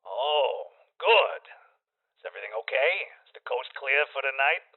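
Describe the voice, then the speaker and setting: A man's voice: medium pitch, lively intonation, moderate pace, lightly acted. A man playing a businesslike hired killer in a radio thriller, speaking in clipped, reassuring phrases over a telephone line. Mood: coldly reassuring. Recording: muffled telephone-line sound.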